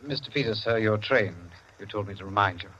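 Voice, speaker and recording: Polite club servant, quick talking, old radio drama, muffled sound. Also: male voice, fairly low pitch, pushed and bright. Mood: deferential. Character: deferential manservant at a gentlemen's club. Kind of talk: 1940s radio drama acting. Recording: dull vintage radio recording.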